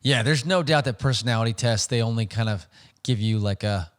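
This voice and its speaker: A man hosting a podcast interview, easygoing, offering short affirmations and relaxed comments. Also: medium pitch, a little fast. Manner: easygoing, brief affirmations while listening. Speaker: podcast host.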